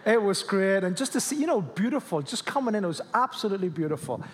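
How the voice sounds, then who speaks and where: A man, a guest pastor preaching a jokey, animated sermon to a church crowd. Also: sits high, fast talker. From Spain, Canary Islands. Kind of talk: sermon with humor. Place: church hall with audience. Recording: long reverb of a large hall.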